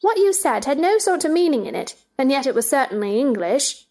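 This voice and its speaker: A woman's voice: high-pitched, sing-song, a little fast, mellow. A woman playing a polite, curious and easily indignant young girl in a stage play dramatization. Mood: puzzled, polite.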